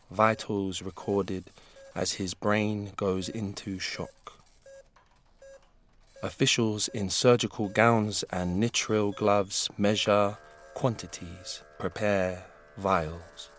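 A man reading a dark horror story aloud, intense, swinging between urgent peaks and low hushed passages. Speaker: audiobook reader. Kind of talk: scripted dramatic horror narration.

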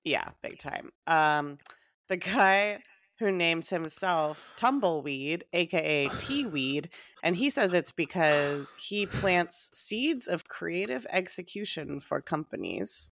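Low gravelly giggly woman podcaster. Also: lively intonation, slow pace, a bit bright, dry recording. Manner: giggly, laughs between remarks. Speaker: podcast co-host. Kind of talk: casual chat recapping a reality show. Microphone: remote call microphone.

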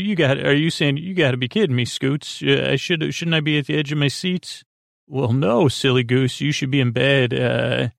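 Creaky-voiced man rambling on a sleep podcast. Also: mid-range voice, dry recording, studio quality. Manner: meandering, halting, trailing off mid-word; whimsical, gently silly asides. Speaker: podcast host.

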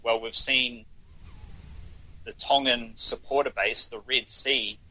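A man's voice: medium pitch, fairly even intonation, slow pace, bright, forceful tone, poor audio. A man, a sports journalist guest on a podcast, talking casually in short restarts. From New Zealand. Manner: thoughtful, talks in short restarts.

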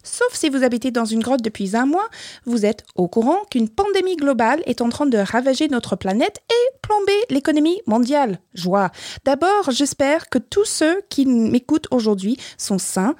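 Upbeat high-voiced host with English-speaking accent. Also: female voice, sing-song, moderate pace, darkish tone, no room sound, clean studio sound. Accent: English-speaking accent in French. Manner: upbeat, chatty, slightly scripted. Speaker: podcast host, business founder. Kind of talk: podcast talk and interview.

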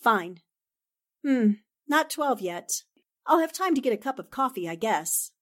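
Female reporter heroine for radio thriller actress: highish voice, very lively intonation, brisk, plucky, self-possessed. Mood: composed. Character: composed, brave newspaperwoman in a radio thriller. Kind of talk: 1940s radio drama acting.

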